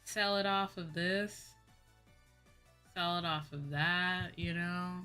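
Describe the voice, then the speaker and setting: A woman's voice: fairly low pitch, fairly even intonation, takes it slowly, pushed and bright, clear, resonant tone. A young woman livestreamer chatting casually in a slangy, exclamatory way, like a VTuber talking to her viewers. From United States. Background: background music.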